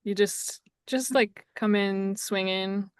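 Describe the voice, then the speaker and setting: A woman on a marketing panel, joking and a bit halting, with frequent restarts. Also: mid-range voice, clean tone, dry recording, studio quality. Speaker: social media marketer, panelist. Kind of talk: casual panel discussion.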